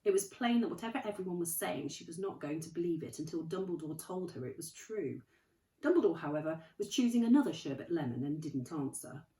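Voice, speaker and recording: A woman, a primary school teacher, reading a children's novel aloud in a clear, measured way. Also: lowish voice, lively intonation, warm tone. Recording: echoey room, distant mic.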